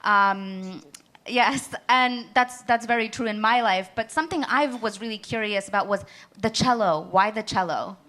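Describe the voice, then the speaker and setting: A woman's voice: mid-range voice, normal speed, bright, forceful tone. A woman from Egypt hosting a live on-stage interview, praising the performer and asking thoughtful questions. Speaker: podcast host interviewer. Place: small music venue stage.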